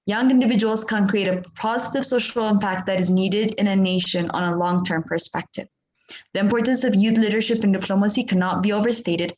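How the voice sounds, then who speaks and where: A woman moderating an online session, formal and hesitant, reading a prepared message aloud. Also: medium pitch. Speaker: webinar moderator. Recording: echoey, dull online audio.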